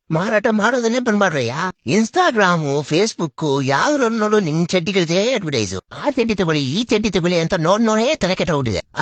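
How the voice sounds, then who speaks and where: A man voicing an exasperated, loudly complaining man in a comic cartoon skit. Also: high-pitched, no echo. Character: exasperated man ranting about too many underwear ads. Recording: clearly noisy amateur audio.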